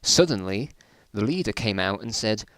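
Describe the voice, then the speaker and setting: A man's voice: fairly low pitch, lively intonation, moderate pace, fairly bright tone, no room sound. A man reading his own old stories aloud in a casual dramatic style, with self-mocking, bemused asides.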